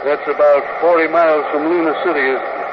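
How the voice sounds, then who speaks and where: Actor as frail old dreamer, noisy vintage recording. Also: male voice, fairly high pitch, fairly even intonation, highs cut off, natural voice. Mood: wistful, awed. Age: older. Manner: halting, wistful delivery. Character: frail, determined old man yearning for the Moon. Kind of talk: 1950s radio drama acting.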